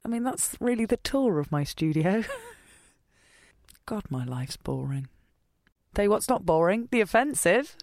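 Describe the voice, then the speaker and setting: A woman with a middling pitch rambles slowly and casually. She is self-deprecating and dryly jokey. The sound is close and dead, like a cupboard packed with coats. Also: very animated, darkish tone, no echo. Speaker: voice actor in an audio fiction podcast. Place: small cupboard full of coats. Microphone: pop shield, tripod-mounted recorder.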